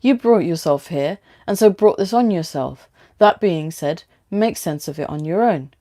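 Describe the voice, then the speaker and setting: A woman's voice: fairly low pitch, expressive, normal speed, dark tone, natural voice. A woman reading a story aloud as a coolly amused, evasive female driver, with a London British accent. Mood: amused, dismissive. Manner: dismissive, withholding.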